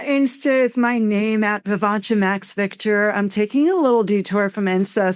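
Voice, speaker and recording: A woman's voice: medium pitch, no echo, clean studio sound. A woman from Iran, a podcast guest, speaking openly and with emphatic feeling. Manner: open, heartfelt and emphatic. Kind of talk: podcast interview.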